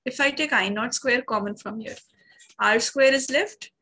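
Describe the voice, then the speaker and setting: Indian-accented woman physics teacher, sore-throated and husky, step-by-step online lecture. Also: a little high, normal speed, pushed and bright. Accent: Indian English. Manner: explains step by step, addressing the class often.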